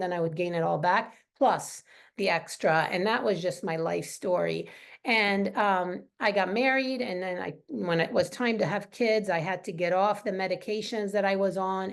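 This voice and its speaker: A woman's voice: mid-range voice, creaky. A middle-aged woman speaking as a wellness coach in an informal live training, earnest, conversational and personal. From Lebanese.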